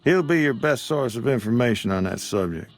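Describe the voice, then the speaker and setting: A man's voice: medium pitch, lively intonation, a little fast, soft background music. A man voicing a weary old frontier shopkeeper who talks in a drawl. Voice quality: gravelly drawl. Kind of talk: video game dialogue.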